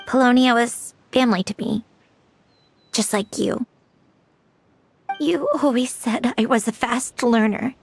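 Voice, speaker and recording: A woman voicing a proud, reserved young woman with a tender side, in measured, prim video game story acting. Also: medium pitch, gravelly.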